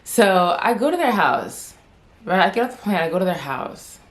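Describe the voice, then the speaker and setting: A woman's voice: fairly low pitch, sing-song, normal speed. A woman in her twenties telling a story to camera in a chatty, rambling way, full of "like", with a stutter and repeated words. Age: 20s. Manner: chatty and rambling, heavy use of "like". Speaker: vlogger.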